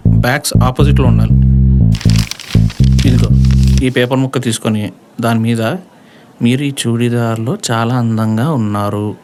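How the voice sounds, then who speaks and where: A man's voice: medium pitch, fairly even intonation, normal speed, gravelly, pauses often, natural voice. A man voicing a cocky, sarcastic young college friend in a comedy audio drama, full of schemes and slangy mockery, with music behind. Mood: cocky, scheming. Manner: mocking, slangy banter.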